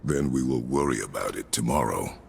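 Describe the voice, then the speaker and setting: An actor playing a stern, stoic warrior father in a video game, speaking in a terse, gruff rumble. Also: animated, fairly quick, a little mellow, exaggerated voice. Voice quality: deep gravelly rumble. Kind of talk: video game cutscene acting.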